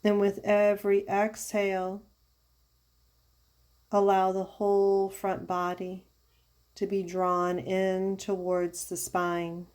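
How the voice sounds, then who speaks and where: A woman leading a guided yoga nidra meditation as a teacher, giving soothing, unhurried instructions with pauses. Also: mid-range voice, monotone, a little mellow. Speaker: yoga therapist guiding a meditation.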